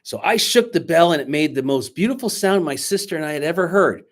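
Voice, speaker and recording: A man with a slightly high voice reads a story to children with big swings in pitch. He is dramatic and teacherly, often turning to his young listeners to coax them with questions. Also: lively intonation, a little fast. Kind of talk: animated picture-book read-aloud with asides to children.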